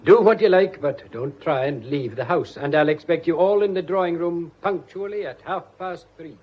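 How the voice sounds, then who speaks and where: An actor playing a genial, wordy, quietly commanding Scottish police inspector in a British radio drama, with a folksy, authoritative manner. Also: male voice, on the high side, normal speed, slightly rough. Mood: firm, authoritative. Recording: dull, band-limited radio drama recording.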